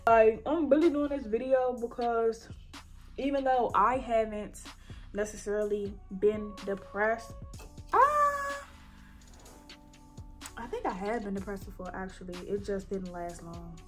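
A young woman in her twenties chatting casually and warmly while she does her makeup, her nose stuffy from allergies. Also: a little high, lively intonation, unhurried, soft background music. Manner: chatty, heartfelt, colloquial. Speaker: beauty vlogger. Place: bedroom, indoors.

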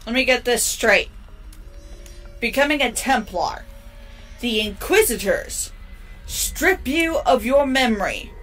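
A woman's voice: a little high, expressive, takes it slowly, long pauses, a bit bright, soft background music. A woman co-hosting a gaming show with casual, blunt chat over gameplay.

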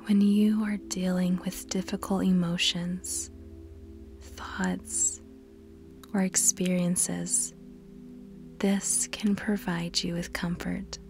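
A woman narrating a scripted guided meditation in a soft, breathy, partly whispered voice, measured and instructional, with pauses between phrases. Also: on the low side, flat delivery. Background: background music.

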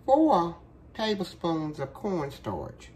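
Slow-talking woman with a cold. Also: lowish voice, sing-song, darkish tone. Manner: chatty, folksy, with many fillers. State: losing her voice from a cold. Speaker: cooking show host. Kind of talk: casual cooking demonstration. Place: home kitchen.

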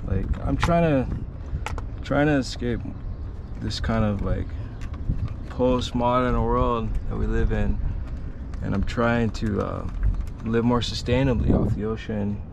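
A young man vlogging from a small dinghy at sea, talking in a relaxed, slangy, rambling way full of fillers, picked up by an action camera on the boat. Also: fairly low pitch, measured pace, gravelly, pauses often. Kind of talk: casual vlog chat. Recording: loud motor and wind noise. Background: boat motor and water.

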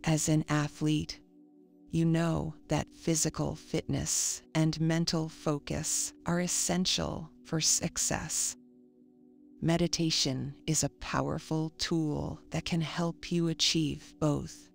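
Slow, low-pitched woman guiding meditation. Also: gravelly. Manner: calm, soothing, measured. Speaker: meditation guide. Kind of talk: scripted guided meditation narration. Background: faint music.

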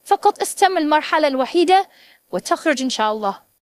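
A man's voice: very high voice, expressive, fast, a little hiss or hum, pitched above the performer's own voice. A man voicing a teasing cartoon game-world creature, theatrical, through an AI voice changer. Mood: teasing welcome. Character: strange cartoon game-world creature greeting and taunting a newcomer. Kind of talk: amateur cartoon dub.

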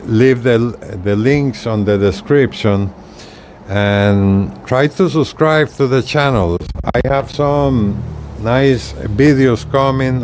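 An older man giving a casual, hands-on product demonstration with halting, unpolished delivery, recorded outdoors on a porch. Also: mid-range voice, darkish tone. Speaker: video creator demonstrating radio gear. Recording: outdoor ambient noise.

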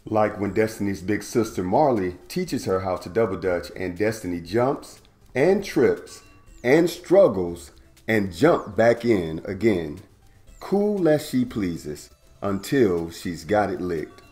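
Male storytime host, 30s, deep voice, playful kids' read-aloud in a home room. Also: slow, faint music behind. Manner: animated, playful, stretches words for young listeners. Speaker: storytime host reading a picture book.

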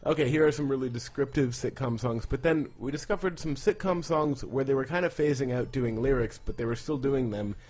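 A man's voice: medium pitch, brisk, few pauses, darkish tone. A man co-hosting a podcast in casual comedic chat. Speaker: podcast co-host.

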